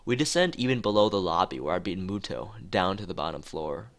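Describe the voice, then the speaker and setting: A man's voice: low-pitched, lively intonation, a little fast. A man streaming a visual novel, reading it aloud and rambling hesitantly in casual asides. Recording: music playing behind the voice.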